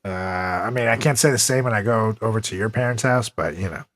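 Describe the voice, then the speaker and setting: A man's voice: fairly low pitch, a little fast, fairly bright tone, dry recording. A man hosting a comedy podcast with casual, profane banter and theatrical announcer flourishes. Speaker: podcast host.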